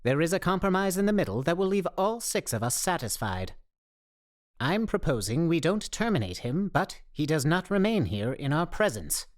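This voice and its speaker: A woman's voice: on the low side, very animated, moderate pace. Woman playing a pompous, swooping know-it-all survivor. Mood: pedantic. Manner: pompous, formal, wordy diction. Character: pedantic, self-important know-it-all zombie theorist. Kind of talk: radio play acting.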